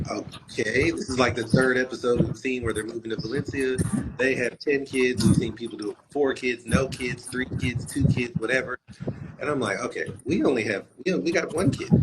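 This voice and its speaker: A man from San Diego who grew up in Atlanta, a guest casually telling a rambling story with frequent restarts and laughs. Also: mid-range voice. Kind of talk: casual storytelling over video call. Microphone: video call. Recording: loud background noise on video call.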